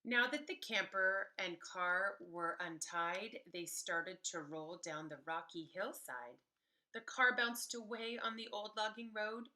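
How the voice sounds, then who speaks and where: A woman reading a story aloud to young children like a preschool teacher, animated and emphatic, pausing to explain things. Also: fairly low pitch.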